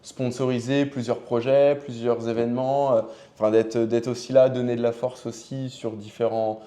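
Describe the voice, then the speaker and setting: A man's voice: medium pitch, flat delivery, normal speed, warm tone. A man hosting a podcast interview in a casual, conversational style, feeling his way through informal, hesitant phrasing. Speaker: podcast host.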